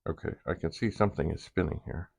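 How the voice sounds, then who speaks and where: A man hosting a hobby demonstration, thinking aloud in a casual, halting way and muttering asides to himself. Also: rumbling low, creaky, very noisy. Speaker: vintage computer hobbyist host.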